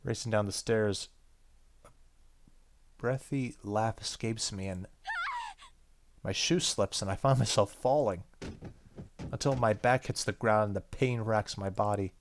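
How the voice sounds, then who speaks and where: A man's voice: on the low side, a little slow, long pauses, a little hiss or hum. A man playing a horror game, reading its story text aloud dramatically and commenting casually. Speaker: gaming YouTuber. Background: game music.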